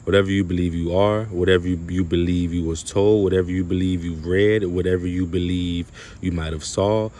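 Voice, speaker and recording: Low, monotone man speaking haltingly. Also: slight background noise. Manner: halting, emphatic, repetitive phrasing. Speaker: spiritual video creator. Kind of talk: unscripted spiritual monologue to viewers.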